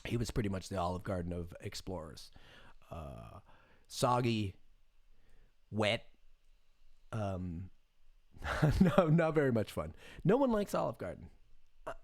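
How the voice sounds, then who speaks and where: A man's voice: fairly low pitch, big pitch swings, a little slow, vocal fry, pauses often. Irreverent American man in his thirties, casual rant. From United States. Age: 30s. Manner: irreverent, sarcastic, profanity-laced. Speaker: streamer commenting on the news. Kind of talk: casual off-the-cuff commentary.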